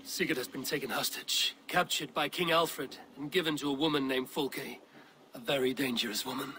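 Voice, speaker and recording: A man playing a stern, commanding Viking clan leader in a video game, speaking in a firm, measured, authoritative way. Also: mid-range voice, steady tone, pushed and bright, close to an everyday voice. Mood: grave, resolute. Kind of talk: dramatic video game acting.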